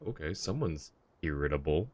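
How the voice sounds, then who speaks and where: Deep-voiced streamer chatting over gameplay. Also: male voice, expressive, normal speed. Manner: casual, chatty, reacting to the game. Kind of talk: live gameplay commentary.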